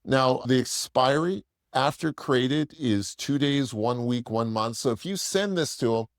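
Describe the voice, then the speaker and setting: A man's voice: medium pitch, measured pace, dry recording, studio quality. A man presenting a casual product demo in a plain-spoken, explanatory, conversational way.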